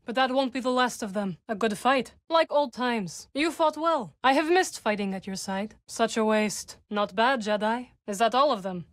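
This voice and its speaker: A woman's voice: fairly high pitch, normal speed, hardly any pauses, fairly bright tone, no echo, clean studio sound, close to an everyday voice. An actress voicing a proud young witch warrior in a video game, speaking in short, formal, commanding lines. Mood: satisfied, dry. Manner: formal, clipped, commanding phrasing. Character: fierce young witch warrior, proud, dry, loyal ally.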